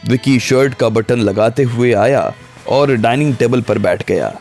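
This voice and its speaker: A man narrates a scripted audio story in a medium-pitched voice. Soft music plays behind his narration. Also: lively intonation, a little slow. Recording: background music under the voice.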